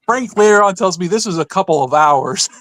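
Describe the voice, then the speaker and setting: High-pitched man telling a casual story. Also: expressive, fairly quick, a bit bright, no echo. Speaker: podcast co-host.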